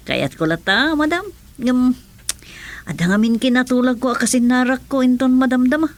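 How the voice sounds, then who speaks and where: Actress as a higher-voiced, chatty female aide. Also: normal speed, natural voice. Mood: playful. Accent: Filipino. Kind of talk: radio drama acting.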